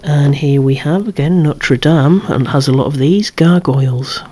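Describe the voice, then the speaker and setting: A breathy, husky whisperer presents a collection in ASMR style. The delivery is hushed and unhurried, at a middling pitch. It wanders into musing, wry little asides. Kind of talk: whispered ASMR show-and-tell.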